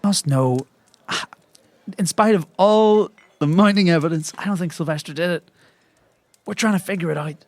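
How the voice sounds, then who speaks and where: A man's voice: high voice, normal speed, pauses often, a little mellow, no echo. A man playing an anxious, self-important optometrist in an improvised comedy role-play, with a fussy, pompous delivery. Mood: earnest, pompous.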